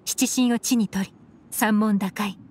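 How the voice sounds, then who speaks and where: A woman voicing a composed, shrewd adult investigator in an anime game, explaining in a cool, measured, analytical way. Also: mid-range voice, fairly even intonation, darkish tone, slight background noise, lightly acted. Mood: focused, incanting. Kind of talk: Japanese anime game voice acting. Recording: music under the voice.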